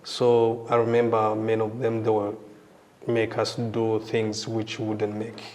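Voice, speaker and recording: A middle-aged man with a Rwandan accent, giving reflective personal testimony in a halting, thoughtful way. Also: fairly low pitch, monotone, darkish tone. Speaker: interview guest sharing survivor testimony.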